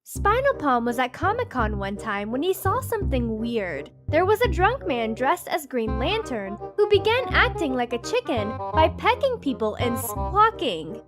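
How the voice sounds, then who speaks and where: A woman narrating short anecdotes in an animated storytime style. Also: high voice, normal speed, few pauses, music in the background.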